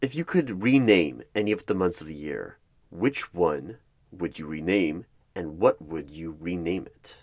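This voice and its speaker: Low-voiced man asking questions, swinging intonation. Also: expressive, unhurried, darkish tone, no room sound. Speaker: ASMR roleplayer as a hiring manager interviewing a candidate. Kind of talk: ASMR interview roleplay. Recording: clear background noise with keyboard typing. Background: computer keyboard typing and clicks.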